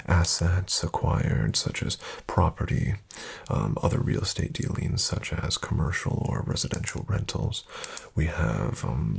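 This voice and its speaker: Guy doing a suave financial advisor asmr roleplay, super gravelly hushed voice, slow and flattering. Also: low voice, a little hiss or hum, exaggerated voice. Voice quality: soft, breathy, often near a whisper. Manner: hushed, courteous, unhurried, smoothly deferential. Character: suave, flattering male financial advisor to wealthy elite clients. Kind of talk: soft-spoken ASMR roleplay.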